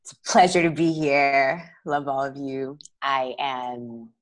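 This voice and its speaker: A woman in her twenties introduces herself in a relaxed, breezy way. Her voice is very low for a woman. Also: slow pace. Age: 20s. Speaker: podcast guest.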